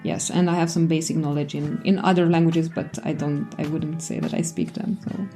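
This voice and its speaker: A woman's voice: sits low, little pitch movement, moderate pace, mellow, faint music behind. A young woman with a Romanian accent, a native Romanian speaker, explaining her research as an interview guest.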